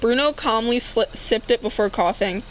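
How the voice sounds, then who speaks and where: Young woman reading aloud, higher-pitched and giggly. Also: normal speed, a little hiss or hum, rough recording. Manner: giggly, joking, profane. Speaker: fanfiction reader. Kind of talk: casual read-aloud with banter.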